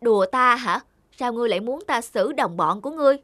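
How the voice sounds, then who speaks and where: Gruff but kindly cartoon cat. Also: male voice, very high-pitched, expressive, fast talker, sharp tone, no room sound, studio quality, heavily acted. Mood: gruff, scornful. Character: grumpy but kindly blind male cat. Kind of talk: animated moral fable dubbing. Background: music.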